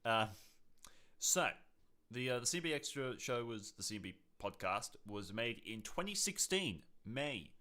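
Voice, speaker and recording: A man's voice: medium pitch, sing-song, unhurried, bright, forceful tone. A man in his twenties co-hosting a casual live-streamed podcast, chatting informally. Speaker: podcast co-host.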